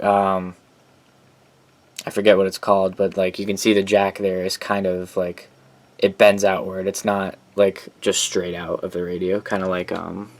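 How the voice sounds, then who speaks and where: A man giving a casual, unscripted show-and-tell as a hobbyist collector, rambling informally with enthusiasm. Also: sits low.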